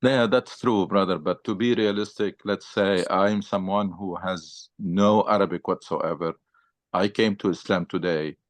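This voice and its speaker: Low-voiced man teaching patiently. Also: steady tone, unhurried, dry recording. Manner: patient, explains step by step with many fillers. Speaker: religious teacher. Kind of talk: teaching and answering questions in a study session.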